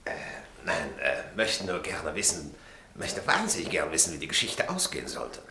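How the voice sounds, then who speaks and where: Old army major, gravelly, clipped hesitant phrases, gruff, bewildered, radio play, roomy noisy recording. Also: male voice, low-pitched, huge pitch swings, moderate pace, pushed and bright, full character voice. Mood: hesitant curiosity. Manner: clipped telegram-like sentences, hesitant. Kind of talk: German radio drama acting. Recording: roomy echo with clear background noise.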